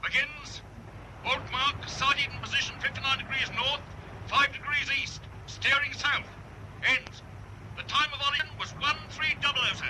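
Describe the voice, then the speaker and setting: An urgent naval wireless officer in a radio play. His voice is extremely high for a man and formal in reporting. Clear engine noise runs behind it. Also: fairly even intonation, normal speed, pushed and bright, heavily acted, rough recording. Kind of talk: radio drama acting.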